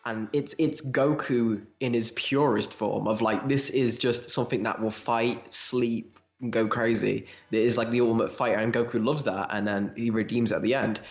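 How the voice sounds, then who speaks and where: A man's voice: medium pitch, steady tone, normal speed, few pauses. A man co-hosting a casual fan podcast, giving emphatic opinions and swearing casually. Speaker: podcast host. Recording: roomy echo, dull lo-fi sound.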